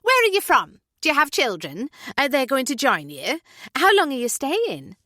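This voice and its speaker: A woman's voice: sits high, huge pitch swings, sharp tone, no room sound, studio quality, voice pushed up, heavily acted. A woman voicing a chatty, hyperactive middle-aged neighbour with a slight northern English accent, talking over everyone in a storybook reading. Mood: gushing. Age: 40s-50s. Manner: gushing, rapid-fire, overbearing.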